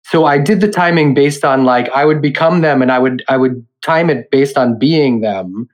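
A man's voice: mid-range voice, moderate pace, rough recording. A man speaking as an interview guest, explaining his work as director and editor in a loose, informal, conversational way.

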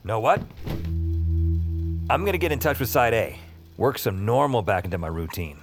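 A wisecracking male everyman in an audio drama, low in pitch and very animated. He narrates his strange life with rattled, sardonic asides and exclamations. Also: moderate pace. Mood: upbeat, wry. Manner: sardonic, self-talking, exclamatory. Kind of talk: audio drama acting with first-person narration.

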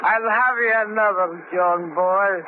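Sly high-voiced crook, coaxing and slow, old radio play, tinny broadcast sound with faint hiss. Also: male voice. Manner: coaxing, cackling. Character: scheming wheedling small-time crook, adult man. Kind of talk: 1950s radio drama acting.

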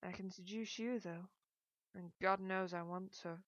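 A woman voicing an amorous, teasing gentleman in an audiobook, with a coaxing, wry and formal manner. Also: mid-range voice, steady tone, a little slow, no echo, dull audio, natural voice. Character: amorous, teasing gentleman lover, smoothly persistent.

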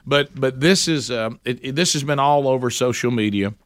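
A man's voice: medium pitch, normal speed, a bit bright, no room sound. A man hosting a talk radio show, giving emphatic commentary with many restarts and fillers.

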